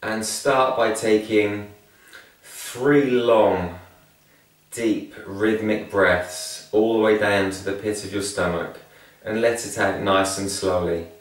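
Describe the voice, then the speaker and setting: A man leading a spoken guided meditation in a calm, soothing, measured way with long pauses between phrases. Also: on the low side. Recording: very echoey room, distant from mic.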